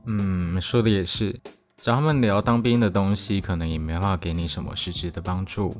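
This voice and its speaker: A man's voice: low-pitched, fairly even intonation, fairly quick, soft background music, lightly acted. A Taiwanese man acting a teasing but supportive young army veteran in a radio drama. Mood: understanding. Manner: teasing, reassuring, explanatory.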